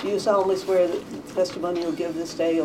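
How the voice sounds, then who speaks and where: A woman's voice: lowish voice, level tone, fast. A woman chairing a public board hearing, who runs the agenda and swears witnesses in with a procedural manner. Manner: procedural, reads the oath. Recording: distant mic, loud room noise.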